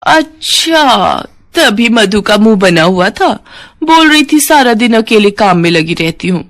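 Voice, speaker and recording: A woman's voice: a little high, big pitch swings, measured pace, a bit bright. A woman voicing a meddling, quarrelsome aunt who gossips and needles in an insinuating way in a family story.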